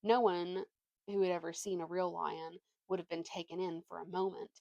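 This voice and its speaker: A woman reading a story aloud with expressive narration and an informal manner, now and then stumbling over a word. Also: fairly low pitch, moderate pace, creaky, no room sound, dull audio. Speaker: storybook reader.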